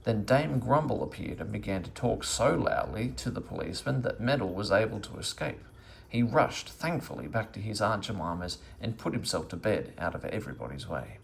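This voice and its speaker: A man reading a children's story aloud as an expressive narrator. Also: fairly low pitch, a little fast, creaky, rough recording. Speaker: storybook reader.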